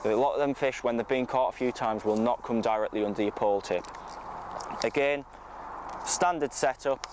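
A man, giving an informal, matter-of-fact tutorial to camera as a coach. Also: mid-range voice. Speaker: fishing coach presenting a tutorial. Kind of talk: informal instructional talk to camera. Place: outdoors on a lakeside bank. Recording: outdoor ambient noise.

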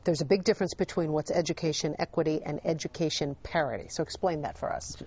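A woman hosts a TV interview in a fairly low voice. She asks polished, leading questions. The sound is dull and muffled, like a broadcast recording. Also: quick pace, darkish tone, husky. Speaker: TV show host.

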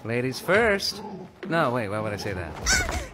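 An actor playing a mischievous, sardonic male cartoon rabbit who teases everyone in an animated series. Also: mid-range voice, a little slow, very noisy. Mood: deadpan. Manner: sardonic, teasing. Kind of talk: animated web series acting. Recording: cartoon soundtrack with music behind.

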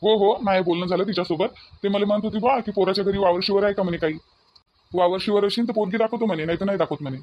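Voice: medium pitch, very fast, dull audio, close to an everyday voice. A performer voicing a mild middle-aged village husband in a comic cartoon, chiding and placating in a Varhadi Vidarbha accent. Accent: Varhadi Marathi of Vidarbha.

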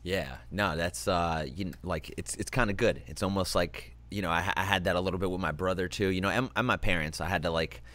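A young man with a very deep voice hosts a relaxed interview into a desk microphone. He is easygoing and swears casually as he talks. His questions come out loose and conversational. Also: fairly quick, hardly any pauses. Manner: laid-back, swears casually. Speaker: host. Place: indoor streaming room with gaming chair. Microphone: desk microphone on arm.

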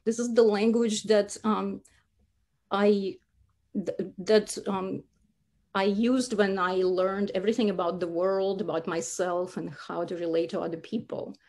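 Earnest woman, halting. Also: medium pitch, fairly even intonation, a little mellow. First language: Russian. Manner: earnest, halting, with many restarts. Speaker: linguistics speaker. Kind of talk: academic talk over slides.

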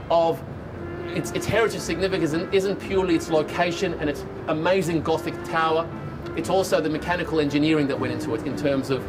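A man in his forties with an Australian accent, leading a heritage building tour outdoors on a rooftop and telling its stories with enthusiasm. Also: on the high side. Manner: storytelling, enthusiastic, lists places in rhythmic runs. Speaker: tour guide. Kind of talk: guided heritage tour talk. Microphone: lapel.

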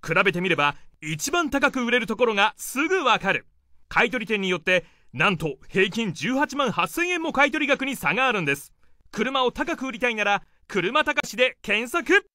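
A man's voice: extremely high pitch, big pitch swings, moderate pace, sharp tone, no room sound, studio quality. A man reading a punchy scripted radio advertisement. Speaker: advert announcer.

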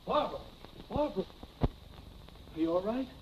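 An American actor in his thirties playing a smooth, apologetic young husband, earnest and glib, in a 1940s Hollywood film. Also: high voice, animated, moderate pace, background noise. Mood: alarmed. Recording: muffled vintage film soundtrack with music.

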